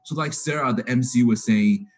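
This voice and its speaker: Medium-pitched man giving an informal talk. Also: moderate pace. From Taiwan, moved to Canada at twelve. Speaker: guest speaker, business trainer. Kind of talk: informal motivational presentation.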